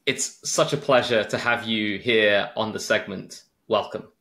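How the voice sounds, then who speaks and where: A man's voice: lowish voice, fairly even intonation, a little slow, gravelly, a bit bright. A man hosting a podcast interview, speaking in a friendly, loose way with fillers and restarts. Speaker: podcast host. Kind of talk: conversational interview.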